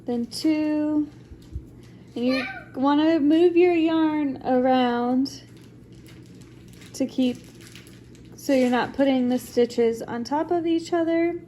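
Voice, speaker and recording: A woman's voice: sits high, slow, pauses often, mellow, slight background noise. A young woman with a congested voice, chatting her way through a crochet tutorial at home with lots of likes and ums. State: congested from a recent illness. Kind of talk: casual step-by-step craft tutorial. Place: home, at a table.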